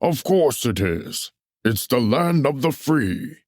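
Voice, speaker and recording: A cocksure, dismissive puppet character, played by a man with a mid-range voice and big swings in pitch. He blusters with smug patriotism in broad stage style. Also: very animated, slow pace, darkish tone, no room sound, studio quality, exaggerated voice. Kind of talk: theatrical puppet musical acting.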